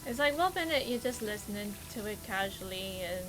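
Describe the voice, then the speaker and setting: A woman's voice: mid-range voice, lively intonation, brisk, over music, poor audio. A woman with a Geordie accent chatting casually while streaming a game, exclamatory and swearing freely. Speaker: livestreamer. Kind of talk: casual gameplay commentary.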